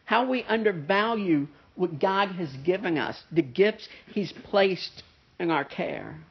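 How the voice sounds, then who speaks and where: A man's voice: high voice, expressive, takes it slowly, vocal fry, husky. A man preaching a sermon in a conversational way, with a nasal voice and many "uh" fillers and "right?" asides. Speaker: pastor. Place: church service with a pianist present. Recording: dull, muffled sermon recording.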